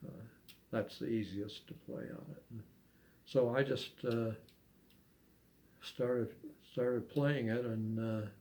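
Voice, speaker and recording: An older man chatting casually as an interviewee, recalling things in a halting, hesitant way with long pauses. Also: mid-range voice, steady tone, a little mellow. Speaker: amateur harmonica player being interviewed. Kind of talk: casual reminiscing chat.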